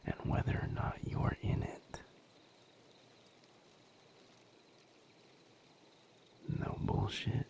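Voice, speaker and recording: A man acting as a tender male vampire lover in an intimate audio roleplay. He talks very slowly in a heavily creaky, breathy voice that often sinks toward a whisper. His manner is wry, folksy and softly teasing. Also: on the low side, heavily acted. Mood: gentle concern. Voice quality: breathy, gravelly. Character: wry, tender male vampire boyfriend tending injured partner.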